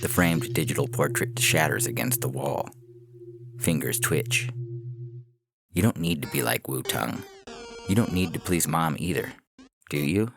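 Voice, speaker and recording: A man with an extremely low voice narrates short fiction. There is a heavy creak and gravel in his delivery, and music plays underneath as he reads. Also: big pitch swings, unhurried, long pauses. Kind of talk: scripted story narration in second person.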